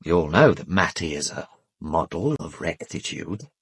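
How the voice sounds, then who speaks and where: A well-educated English gentleman in a radio drama. His voice is fairly low and noticeably husky, recorded dry. He is polite but guarded and defensive, with a weary, wry self-deprecation. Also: very animated, normal speed, dry recording, exaggerated voice. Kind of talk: British radio drama acting.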